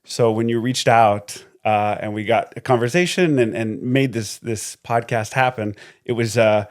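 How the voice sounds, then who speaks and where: A man's voice: medium pitch, measured pace. Hesitant man hosting a podcast. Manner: hesitant, many uhs and restarts. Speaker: podcast host. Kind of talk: interview hosting.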